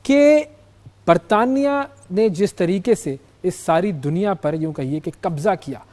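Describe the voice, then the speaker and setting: A man with a Pakistani accent presenting and interviewing as a current affairs host, in a measured, emphatic, formal manner. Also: high voice, expressive, a little mellow. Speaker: news programme host.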